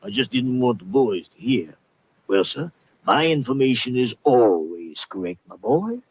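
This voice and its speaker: A man's voice: mid-range voice, big pitch swings, moderate pace, noisy background, natural voice. An actor playing a genial, blustering older con-man colonel in a 1950s radio drama, with courtly, hesitant, folksy patter. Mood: smug, genial. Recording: muffled old 1950s radio recording.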